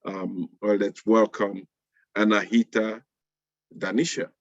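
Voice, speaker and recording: A man with a fairly low voice hosts a live webinar. His delivery is halting, with repeated words. The sound is dry and somewhat muffled, as from a video call. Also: flat delivery, no room sound. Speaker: panel host. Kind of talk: live webinar hosting and introductions. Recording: muffled online call audio.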